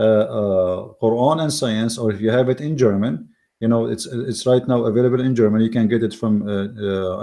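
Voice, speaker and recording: A man's voice: medium pitch, dark tone. Mocking man with an Arabic accent. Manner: mocking, emphatic, repeats words for stress; non-native English with halting restarts. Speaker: online commentator. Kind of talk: argumentative commentary reading from an article.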